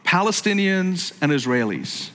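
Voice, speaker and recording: A man's voice: high voice, very animated, measured pace, pushed and bright, in a large hall. A middle-aged man from Northern Ireland preaching a sermon in a joking, conversational way that keeps breaking off into asides. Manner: jokey, conversational, self-interrupting. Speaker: pastor.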